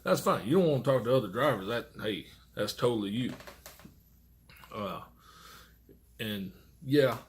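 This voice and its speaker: A man's voice: mid-range voice, lively intonation, unhurried, long pauses, a little hiss or hum. An American man who works as a truck driver, giving casual, rambling tips in blunt, profane, folksy vernacular. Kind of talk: casual rambling vlog monologue.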